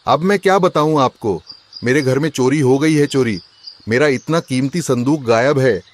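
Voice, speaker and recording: A man playing an agitated, suspicious rich merchant husband in a cartoon, loud and complaining. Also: medium pitch, normal speed, lightly acted. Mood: upset. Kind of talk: Hindi cartoon story voice acting.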